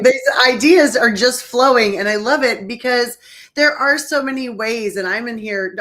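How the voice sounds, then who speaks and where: A woman's voice: medium pitch, fairly bright tone. A woman hosting a conversational talk show, speaking emphatically and repeating her points for effect.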